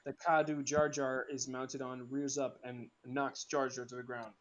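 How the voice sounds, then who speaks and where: A man's voice: medium pitch, flat delivery. A man reading out script stage directions as narrator, casually and with some stumbles. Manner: halting, stumbles over the script. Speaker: narrator of a script table read.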